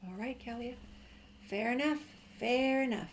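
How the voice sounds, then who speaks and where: A woman's voice: on the high side, measured pace. A woman giving casual, amused gameplay commentary, chatting to the characters as she plays. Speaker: gamer playing and commenting. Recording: commentary over noisy game ambience.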